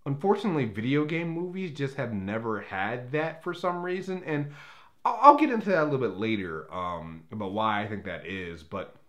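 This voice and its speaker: A man's voice: medium pitch, expressive, normal speed, fairly bright tone. A man with a mid-range voice hosts a casual movie review. He talks conversationally and without a script, giving his opinions in a rambling way. He often backtracks and rephrases as he goes. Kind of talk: casual unscripted review commentary.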